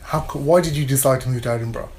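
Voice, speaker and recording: A man's voice: mid-range voice, very fast, a little mellow, slightly rough. Male student interviewer. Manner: brief, encouraging acknowledgements. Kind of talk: interview questions.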